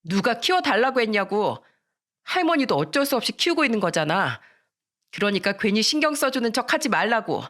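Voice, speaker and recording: A touchy teenage girl in an audio story, acted by a woman, lashing out at whoever is near. She talks in curt, confrontational bursts, and her pitch jumps about in a very animated way. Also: normal speed. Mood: bitter, defiant.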